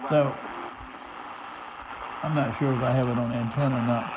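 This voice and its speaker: A man's voice: mid-range voice, fairly even intonation, moderate pace. A man giving a casual, unscripted hands-on radio tutorial, narrating each step as he goes. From United States. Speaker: radio hobbyist demonstrating a receiver. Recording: noisy, shortwave radio static behind. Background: radio static and noisy broadcast.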